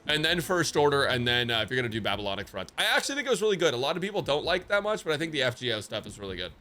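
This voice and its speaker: Bright-voiced man rambling to stream chat. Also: mid-range voice, big pitch swings, racing. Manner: sweary, slangy, rambling. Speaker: livestreamer. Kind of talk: casual game reaction commentary to chat.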